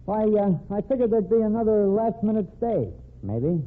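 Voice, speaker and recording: An actor playing a brash, cynical, wisecracking newspaper reporter in an old-time radio drama, slangy and irreverent. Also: male voice, high voice, sing-song, moderate pace. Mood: casual. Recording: narrow, tinny vintage radio recording.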